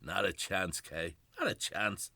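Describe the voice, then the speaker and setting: Older Irish husband, deep and forceful. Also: male voice, measured pace, gravelly, no echo, heavily acted. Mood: reassuring, affectionate. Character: retired Irish policeman, loving, defiant old husband. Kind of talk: radio play acting.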